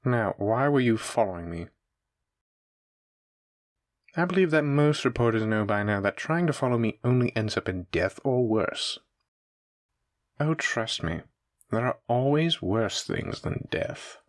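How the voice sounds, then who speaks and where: A man's voice: mid-range voice, lively intonation, a little slow, long pauses, lightly acted. A man playing a menacing, teasing mafia boss villain in a roleplay audio drama, speaking in a taunting, theatrical way straight to the listener. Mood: threatening, intrigued.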